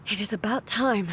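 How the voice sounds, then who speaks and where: A woman voicing a resolute female warrior deity in a video game, speaking in grave, formal, measured declamation. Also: medium pitch, fairly bright tone, a little hiss or hum. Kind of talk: dramatic video game voice acting.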